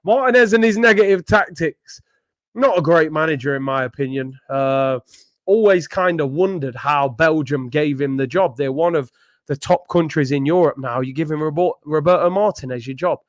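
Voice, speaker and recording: A young man hosting a live football watchalong, chatting in slang and breaking into excited shouts at big moments. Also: fairly high pitch, moderate pace, no echo. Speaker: host of a football watchalong stream.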